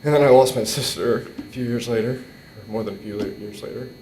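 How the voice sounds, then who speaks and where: A young man with a mid-pitched voice speaks informally at a memorial. He is choked with emotion and stumbles over repeated words. The room is echoey, with a faint rumble. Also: fairly quick, a little mellow. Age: young adult. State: emotional, struggling to keep composure. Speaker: eulogist at a memorial, nephew of the deceased. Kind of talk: personal, informal eulogy. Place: indoor hall. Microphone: microphone on a boom stand. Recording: roomy echo, faint rumbling noise.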